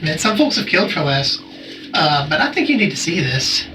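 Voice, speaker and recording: An actor playing a nervous, cautious friend in naturalistic audio drama, talking in a casual, hesitant way. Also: male voice, on the high side, very animated, normal speed, background noise. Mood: uneasy.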